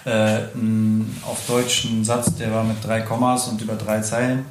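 A man's voice: lowish voice, flat delivery, normal speed. Casual male interviewer, echoey room. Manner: friendly, hesitant with many fillers. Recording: echoey room, faint noise.

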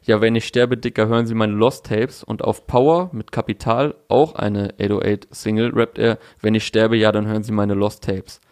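A man's voice: fairly low pitch, fairly even intonation, normal speed, few pauses, darkish tone, clean tone, no room sound, clean studio sound. A young man in casual chat, talking through a music release with fillers and restarts. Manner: thoughtful, many fillers and restarts. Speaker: podcast co-host discussing music.

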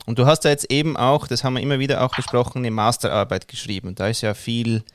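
A man's voice: medium pitch, steady tone, fast. A man hosting an interview, asking loose, friendly questions in a casual way. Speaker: podcast host interviewer.

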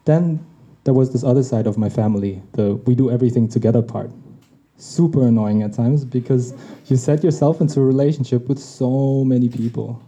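A man whose mother tongue is Tagalog, reading a personal essay on his podcast in a reflective, measured way. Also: medium pitch, dark tone. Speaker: podcast host. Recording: dull lo-fi recording.

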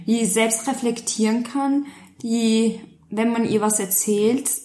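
A woman's voice: fairly high pitch, steady tone, slow pace, mellow, reverberant. A woman, co-hosting a podcast in effusive casual chat. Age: 20s. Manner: effusive, gushing. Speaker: podcast co-host.

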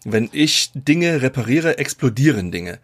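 A man's voice: medium pitch, big pitch swings, unhurried. A man in his forties from East Germany, a podcast guest chatting casually with self-deprecating jokes. He has a cold and sounds congested. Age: 40s. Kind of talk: casual interview chat.

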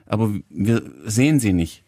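Male podcast co-host, lowish pitch. Casual, reflective conversational delivery, dry sound. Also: lively intonation, moderate pace, dark tone, dry recording. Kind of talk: conversational interviewing.

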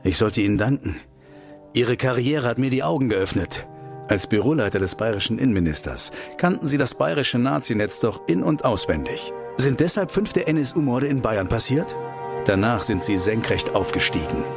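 An actor playing a principled, defiant politician in a radio play, articulate, accusing and sardonic. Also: male voice, mid-range voice, very animated, moderate pace, music in the background. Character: principled, defiant male politician confronting the powerful. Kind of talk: German radio play acting.

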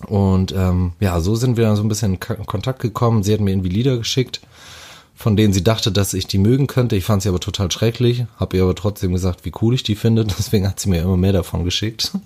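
Guy, 30s, soft warm baritone, easygoing talker. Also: fast talker. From Hamburg, Germany. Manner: relaxed, rambling with fillers and restarts. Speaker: interviewee. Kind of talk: casual storytelling.

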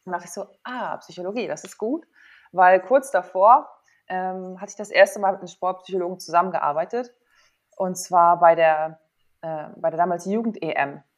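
Candid, lowish-voiced woman telling her story. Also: normal speed. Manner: informal, candid, thinks aloud with self-quoted thoughts. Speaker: interview guest, former athlete and sports psychologist. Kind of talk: casual personal storytelling in an interview.

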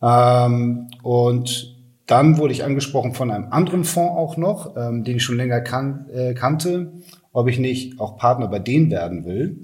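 A man's voice: medium pitch, measured pace, darkish tone. A man, talking casually and matter-of-factly as an interview guest. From Hamburg, Germany. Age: 40s. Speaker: podcast guest, venture capitalist.

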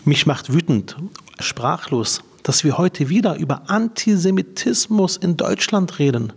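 A man's voice: on the high side, expressive, unhurried, gravelly, breathy edge. A man in his forties from Berlin, presenting and asking prepared interview questions in German. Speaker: interviewer, politician. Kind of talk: scripted introduction and interview questions.

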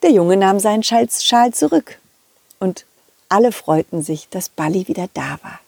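A woman telling a children's story in a gentle, deliberate way, speaking to the young listeners. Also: mid-range voice, lively intonation, gravelly, breathy edge, dry recording. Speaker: puppeteer and storyteller.

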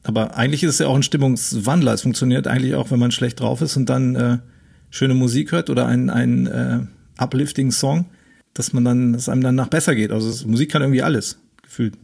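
A man with a husky, gravelly voice, asking long interview questions. He hesitates often, with fillers and restarts, in a casual chat. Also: medium pitch, fairly even intonation, a little fast, darkish tone. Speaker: podcast host.

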